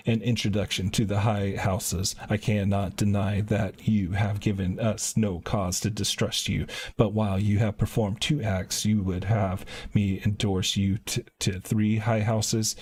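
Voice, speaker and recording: A man live-streaming a video game, chatting casually and reading quest text aloud in an informal, halting way. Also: on the low side, darkish tone, slightly rough.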